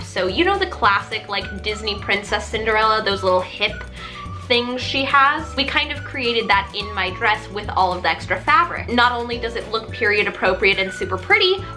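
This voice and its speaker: A young adult woman telling stories in a casual vlog, chatty, animated and self-deprecating. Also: medium pitch. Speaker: vlogger and stage actress.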